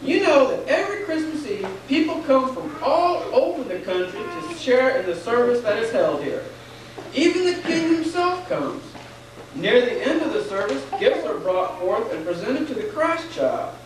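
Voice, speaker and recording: A performer playing a kindly, devout old grandfather in a puppet show, telling things earnestly and gently. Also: sits high, sing-song, moderate pace. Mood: earnest, wistful. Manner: earnest storytelling, gently reassuring. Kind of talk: stage puppet show dialogue. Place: church, recorded from afar. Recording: very echoey, distant, noisy 1990s church recording.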